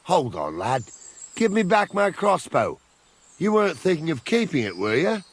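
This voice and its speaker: An actor playing a seasoned, practical medieval soldier captain in a video game, blunt and colloquial. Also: male voice, on the high side, huge pitch swings, moderate pace, husky, exaggerated voice. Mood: gruff teasing. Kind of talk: video game cutscene acting.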